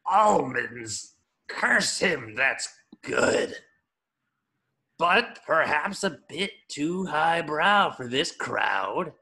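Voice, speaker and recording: A man's voice: a little high, big pitch swings, very slow, pushed and bright, full character voice. A man voicing a scheming, bombastic tiny cartoon villain who goads and bosses in a grandiose way. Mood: bossy, resentful. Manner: grandiose, goading. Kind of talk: cartoon script voice acting.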